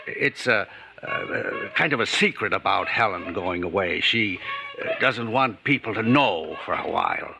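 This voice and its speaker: Jumpy, shifty man, swooping pitch. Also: animated, vocal fry. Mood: coaxing, uneasy. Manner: stammering, evasive, halting. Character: shifty, nervous adult neighbor man hiding a guilty secret. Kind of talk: old-time radio suspense acting. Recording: vintage radio recording, light noise and clicks.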